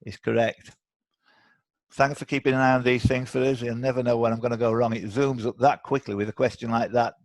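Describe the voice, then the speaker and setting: A British man hosts a live online quiz in a chatty, bantering way. His voice sits at a medium pitch. The sound is dry, with little room around it. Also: steady tone, a little fast, no echo. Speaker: quiz host. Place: indoors, on a video call.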